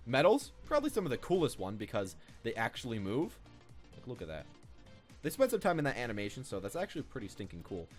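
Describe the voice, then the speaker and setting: Casting: young male gaming creator, chatty and rambling, rapid delivery with lively intonation. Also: medium pitch, faint music behind. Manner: rambling, informal. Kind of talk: casual game commentary.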